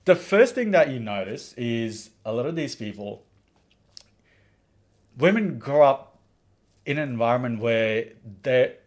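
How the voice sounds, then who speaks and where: A man from the Maldives hosts a livestream in a mid-pitched voice. He talks in an earnest, opinionated way, stops to read viewer comments aloud, and often restarts his sentences. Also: expressive, a little slow, long pauses, darkish tone. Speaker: livestream host.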